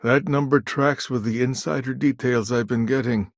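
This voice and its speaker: A man acting a shrewd, self-assured businessman in a video game, with a wry, smooth-talking manner. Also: mid-range voice, fairly even intonation, moderate pace, dry recording, studio quality, close to an everyday voice. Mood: wry, confident.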